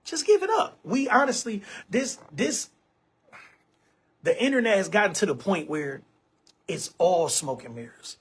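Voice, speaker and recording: A man in his forties speaks casually and emphatically to camera, circling back to the same points and leaning on bro and man. His voice sits high for a man and has a husky, breathy edge. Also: sing-song, measured pace, long pauses. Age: 40s. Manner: emphatic, repetitive, keeps saying bro and man. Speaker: online show host.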